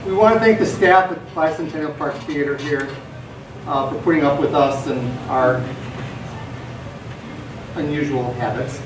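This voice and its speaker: Man, slightly high voice, informal stage thank-you speech with stumbles, picked up from far off. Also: unhurried, pauses often. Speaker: theater company member giving thanks. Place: theater stage, recorded from a distance. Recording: echoey theater, distant mic, clear noise.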